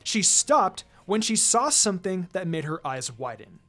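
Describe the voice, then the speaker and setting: A man's voice: high-pitched, very animated, a little slow, pushed and bright. A man reading a story aloud in a dramatic scripted narration style. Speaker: narrator reading fan fiction. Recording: music behind the voice.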